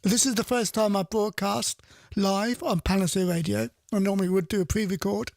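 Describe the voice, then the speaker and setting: A man's voice: sits high, steady tone, normal speed, gravelly, darkish tone, no echo. A middle-aged man presenting a radio show in casual, rambling live chat, often repeating his phrases.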